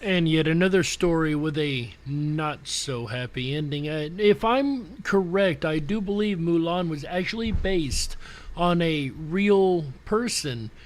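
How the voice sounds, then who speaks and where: A man's voice: a little high, lively intonation, unhurried. A man hosting a show in a casual, conversational showman's style, speaking directly to his listeners. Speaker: show host. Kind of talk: casual live show commentary to audience. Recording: music playing behind the voice. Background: background music.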